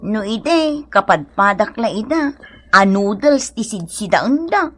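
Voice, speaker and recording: A woman's voice: mid-range voice, big pitch swings, takes it slowly, a bit bright, exaggerated voice. A woman playing a naive, wistful young daughter in a radio drama, with a northern Philippine accent. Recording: music clearly behind the voice.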